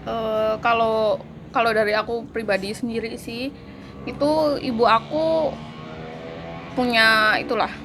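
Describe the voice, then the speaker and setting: A woman's voice: fairly high pitch, fairly even intonation, measured pace, pauses often, over music. A woman, chatting casually and giggling as she tells a spooky story. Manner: giggly, laughs through her story. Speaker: co-host telling ghost stories. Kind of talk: casual chat.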